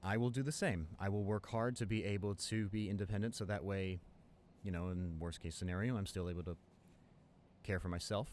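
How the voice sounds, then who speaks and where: A man's voice: low-pitched, steady tone, a little fast, a little mellow, slight background noise, close to an everyday voice. A man playing a devoted, hopeful college boyfriend in an improvised ASMR roleplay, talking earnestly and affectionately to a partner. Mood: earnest, practical. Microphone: close studio condenser microphone.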